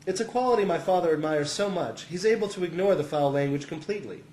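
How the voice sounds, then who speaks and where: Amused higher-voiced man reading in echoey room. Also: fast, a little mellow, slight background noise. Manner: amused, often chuckling as he reads. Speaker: reader of a humorous essay. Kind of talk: scripted first-person comic narration.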